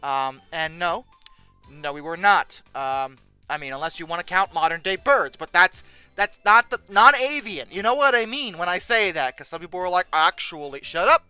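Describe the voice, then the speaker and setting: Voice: medium pitch, expressive, moderate pace, sharp tone, clear, resonant tone, dry recording, faint music behind. A video host giving casual, humorous commentary in a sarcastic, exasperated manner with dramatic emphasis.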